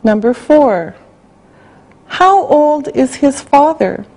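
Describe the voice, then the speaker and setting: A woman reading a language-class listening exercise, spelling out words and dictating sentences slowly and carefully. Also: sits high, sing-song, a little mellow, rough recording. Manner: slow, carefully spelled out, evenly paced. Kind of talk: slow scripted dictation.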